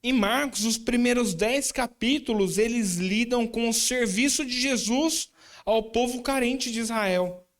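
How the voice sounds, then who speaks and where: A man's voice: extremely high pitch, a little slow. A man preaching in a church, introducing his student group and then moving into the sermon with chatty, informal asides to the congregation. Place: church sanctuary.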